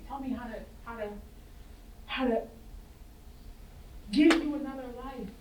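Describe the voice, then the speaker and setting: A woman playing a desperate, fiercely protective mother in a staged play reading, pleading haltingly and emphatically. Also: sits high, fairly bright tone, heavily acted. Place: stage in an echoey room. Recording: roomy, distant, faint noise.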